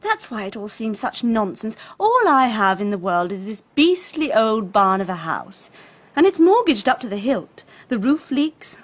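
A young upper-class English society woman in a radio mystery, her voice fairly high. She is airy and flippant, drawling her dismissive remarks with breezy unconcern. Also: sing-song, a little slow. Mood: rueful, breezy. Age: young adult. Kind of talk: radio drama acting.

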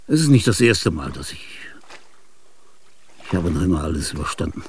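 An actor in a radio drama playing an ailing, aging male genius, restless and grandiose. He speaks confidingly in a husky, gravelly voice, with sudden outbursts and whispers. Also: low-pitched, big pitch swings, moderate pace, darkish tone, slight background noise. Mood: excited. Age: older. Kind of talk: theatrical radio drama monologue.

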